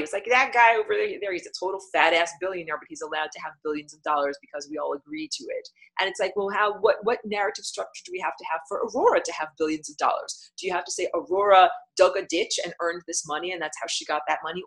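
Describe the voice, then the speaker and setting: Animated woman hosting an online class. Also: fairly low pitch, poor audio. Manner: animated, gestures a lot, sudden loud bursts. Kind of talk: live-streamed lesson, reading viewer comments. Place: small indoor room with a patterned wall hanging.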